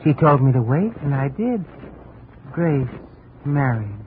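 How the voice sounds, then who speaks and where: A man's voice: medium pitch, big pitch swings, unhurried. An actor playing an evasive, wry man on the run in a casual, hesitant manner, in 1950s radio drama style. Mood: resigned. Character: evasive male fugitive, wry and resigned. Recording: faintly noisy, tinny old radio recording.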